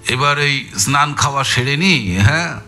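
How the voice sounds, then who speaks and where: A man's voice: mid-range voice, expressive, unhurried, bright, forceful tone. A man acting a shrewd, genial investigator in an audio story, questioning with hums and tag questions. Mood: genial, musing. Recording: music bed behind the voice.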